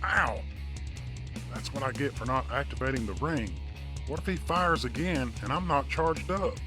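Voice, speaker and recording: A man's voice: medium pitch, moderate pace, over music, lightly acted. A man playing an earnest, polite superhero in an audio drama. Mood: anxious.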